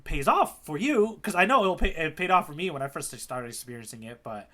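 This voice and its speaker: Bright-voiced, geeky enthusiastic man. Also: fairly high pitch, expressive, brisk. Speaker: podcast co-host, the guide. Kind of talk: casual chat about a manga.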